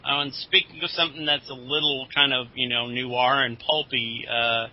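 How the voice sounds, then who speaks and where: A man's voice: medium pitch, steady tone, normal speed. A man co-hosting a podcast, chatting casually and informally like an enthusiastic fan. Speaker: podcast co-host. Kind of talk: casual chat about comics. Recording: dull, lo-fi podcast audio.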